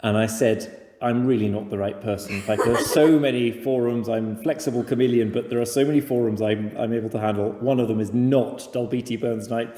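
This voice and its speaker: A middle-aged man with an English accent and a soft, mellow tone speaks in a very reverberant room. He is a talkative guest who tells anecdotes casually and pokes fun at himself as he rambles. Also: mid-range voice, fairly even intonation, a little fast, hardly any pauses, slight background noise. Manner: rambling, self-deprecating, talkative. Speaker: podcast guest. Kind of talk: casual, anecdotal interview chat.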